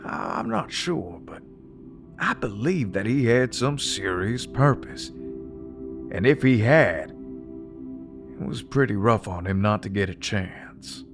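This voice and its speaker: An actor playing a plain-spoken, laconic adventurer in an audio drama, with a drawl. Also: male voice, fairly low pitch, lively intonation, slow pace, pauses often, over music. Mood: thoughtful, candid. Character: plain-spoken, fair-minded American adventurer.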